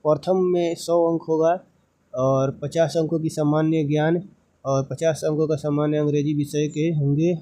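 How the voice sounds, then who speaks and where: Guy reading thru a notice and explaining it, kinda high voice, soft dull-edged mellow tone, halting and repetitive. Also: level tone. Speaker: presenter explaining a recruitment notice. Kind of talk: read-aloud explainer with informal paraphrasing.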